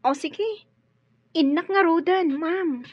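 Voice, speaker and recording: A sweet young housemaid in an Ilocano radio drama. Her voice is high and polite, with an Ilocano accent, and the recording is slightly dull. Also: slow, dry recording. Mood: respectful.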